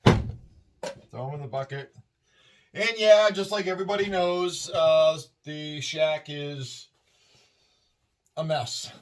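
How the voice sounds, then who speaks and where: A man's voice: fairly high pitch, takes it slowly, pauses often. A middle-aged man with a Massachusetts accent, chatting in a rambling, upbeat way while taking apart scrap in a shed at night. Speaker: scrapping video host. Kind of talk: casual hands-on narration. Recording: distant mic, faint noise.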